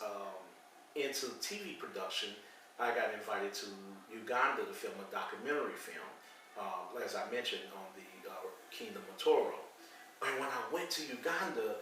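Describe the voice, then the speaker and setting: A man's voice: mid-range voice, normal speed, a bit bright, slight background noise. A middle-aged man from St. Louis with an American accent, hosting a casual chat with lots of fillers as he tells stories. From St. Louis, Missouri, USA. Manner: conversational storytelling, frequent fillers. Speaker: channel host. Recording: echoey room, mic at a distance.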